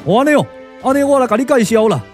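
High, folksy Taiwanese comic warrior. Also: male voice, very fast, faint music behind. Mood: breezy, introducing. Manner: blunt, excitable, colloquial. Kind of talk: Taiwanese glove-puppet radio drama acting.

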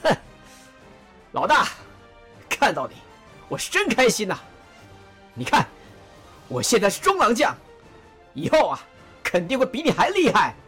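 A woman's voice: on the high side, huge pitch swings, a little slow, pauses often, a bit bright, echoey room, in a large hall, soft background music, exaggerated voice. A woman voicing a cheerful, boastful male general who talks in a chatty, swaggering way. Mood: wistful.

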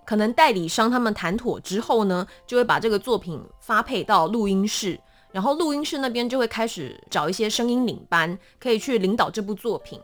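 A young woman with a Taiwanese Mandarin accent explaining her work as an interview guest in an animated, self-deprecating, casual way. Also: medium pitch, brisk, soft background music. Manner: animated, self-deprecating, acts out small examples.

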